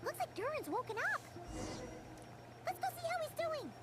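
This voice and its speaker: A woman's voice: very high voice, very animated, normal speed, full character voice. A woman voicing a small, perky, feisty fairy companion in a video game, cheeky and exclamatory. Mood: eager. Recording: game audio under loud music.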